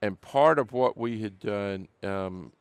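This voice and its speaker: A man serving as co-host, a pet nutrition scientist, talking calmly about his background. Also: on the low side, slow, smooth, no echo. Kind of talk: casual chat.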